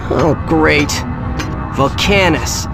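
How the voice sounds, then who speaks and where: A man's voice: on the high side, drawn-out words, gravelly, full character voice. A cocky teenage boy hero in a video game cutscene. He sounds wry, casual and sure of himself, with music playing behind the voice. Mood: sarcastic. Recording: loud music underneath.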